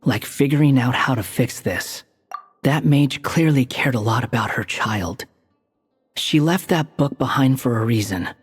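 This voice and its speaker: A man voicing an aloof, sharp-tongued young wanderer in a video game, dry and curt. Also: medium pitch, measured pace, husky. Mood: serious. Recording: echoey game audio with music.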